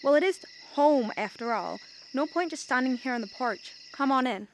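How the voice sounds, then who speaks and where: Young woman playing a self-assured teasing girl in a radio play, high bright voice, a bit noisy. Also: lively intonation, normal speed. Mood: coy, welcoming. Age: young adult. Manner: wry, pointed. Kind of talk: school radio drama acting.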